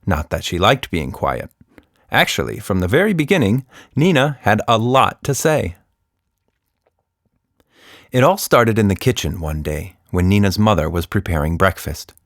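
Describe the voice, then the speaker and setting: Lively, animated male storyteller. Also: on the low side, moderate pace, no room sound, clean studio sound. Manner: animated, teasing, talks directly to the listener. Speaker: author and storyteller of a children's podcast. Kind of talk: playful scripted storytelling for children.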